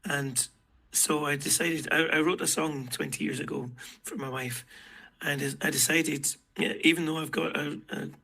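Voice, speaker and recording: Gravelly middle-aged man hosting. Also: fairly high pitch, steady tone, sharp tone, rough recording. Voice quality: rough, gravelly voice. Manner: halting, with many fillers. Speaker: host. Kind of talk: casual group chat and voice-over.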